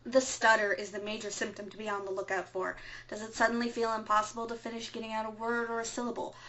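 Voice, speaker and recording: A woman playing a composed, earnest resistance radio broadcaster in a scripted audio drama, speaking in a measured, solemn way. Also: a little high, flat delivery, a bit bright, lo-fi sound, slight background noise, lightly acted. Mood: firm, instructive. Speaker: radio show host.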